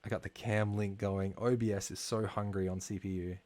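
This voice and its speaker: A man talking casually while live-streaming a game, slightly hoarse, chatty and full of fillers, from a home streaming setup. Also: on the low side, little pitch movement, a little fast, darkish tone. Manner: chatty, filler-heavy, talks while playing. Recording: clear background music under voice.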